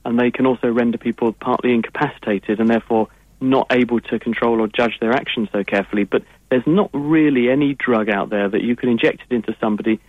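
A man's voice: medium pitch, quick pace, dry recording. A man with an English accent, a science guest on a radio call-in, answering listeners' questions in long, fluent explanations. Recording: tinny phone-line sound.

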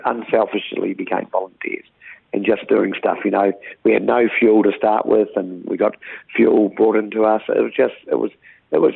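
Croaky New Zealand man on phone. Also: on the low side, no room sound. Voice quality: croaky, gravelly voice. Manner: earnest, rambling, repeats and restarts words. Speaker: town mayor interviewed by phone. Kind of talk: phone interview. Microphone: phone line. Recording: tinny phone line, dry.

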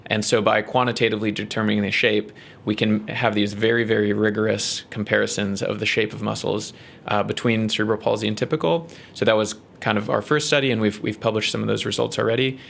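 A man with a New Zealand accent, a research scientist explaining his work in an interview, measured and full of ums and uhs. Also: on the low side, flat delivery, creaky, a bit bright, a little hiss or hum.

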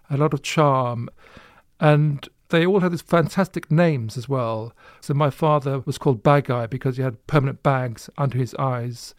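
A man's voice: medium pitch, darkish tone, dry recording. A man who is an author, telling anecdotes in an interview with dry humour. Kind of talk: interview storytelling.

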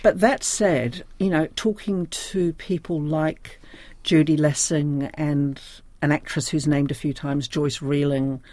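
A woman's voice: low-pitched, fairly even intonation, takes it slowly, a little mellow, dry recording. An older woman with a New Zealand accent speaking reflectively as an interview guest, thoughtful and often searching for her words. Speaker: interview guest, diary editor.

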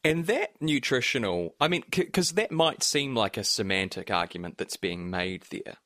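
A male podcast host with a New Zealand accent and a slightly husky voice, presenting and interviewing. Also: mid-range voice, big pitch swings, moderate pace, pushed and bright, no echo, clean studio sound.

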